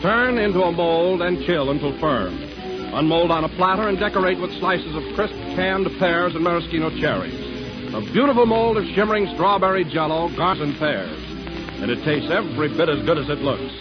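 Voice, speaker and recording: A man's voice: a little high, lively intonation, normal speed. A man reading scripted 1930s radio ads and announcements with polished, emphatic salesmanship. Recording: muffled 1930s radio recording, music, crowd.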